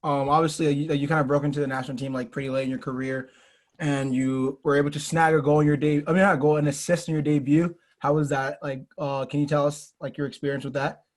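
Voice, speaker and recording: A man's voice: on the high side, flat delivery, fast talker. A man in his early twenties hosting a podcast, asking casual questions full of "like" fillers. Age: 20s. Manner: filler-heavy, says "like" constantly. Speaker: podcast host.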